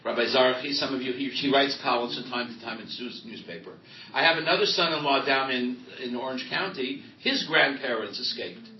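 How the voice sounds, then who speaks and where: A middle-aged man, a rabbi and author, speaking emphatically and loudly in a live interview, telling stories. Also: mid-range voice, fairly quick, slight background noise. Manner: emphatic, loud, storytelling. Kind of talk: live panel interview before an audience. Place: event hall with audience. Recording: roomy hall, distant mic, dull lo-fi sound.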